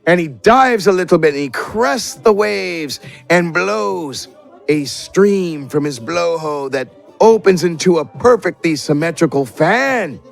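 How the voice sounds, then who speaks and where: Animated, creaky-voiced man as game master. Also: on the high side, a little slow, soft background music. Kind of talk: improvised tabletop game narration.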